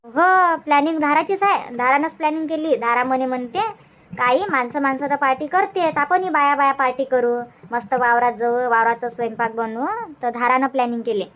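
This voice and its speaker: Chatty village mother for cartoon comedy, female performer: high voice, Varhadi Marathi accent, bossy but easygoing, rambling delivery. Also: a little fast, a bit bright. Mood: explaining. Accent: Varhadi Marathi (Vidarbha). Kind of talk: homemade cartoon comedy dub.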